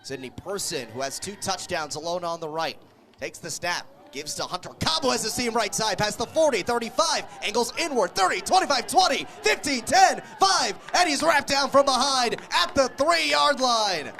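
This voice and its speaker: A man doing live play-by-play radio commentary of a football game, calling the action with excited energy. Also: extremely high pitch, normal speed. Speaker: play-by-play sports radio announcer.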